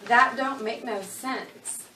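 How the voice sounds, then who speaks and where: A woman's voice: fairly high pitch, steady tone, slow pace. An echoing, slightly distant recording carries a grieving country widow played by a woman. She is plain-spoken and folksy, pleading yet defiant, in a dramatic reading of a novel. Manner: folksy rural dialect grammar, pleading. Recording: roomy, distant, faint background noise.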